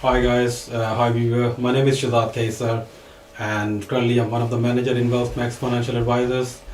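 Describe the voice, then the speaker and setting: A man from Pakistan, a financial advisor guest on a talk show, speaking hesitantly with many fillers and repeated words and clearing his throat often. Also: medium pitch, level tone, a little fast, roomy sound, slight background noise, rough recording. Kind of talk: studio talk show interview.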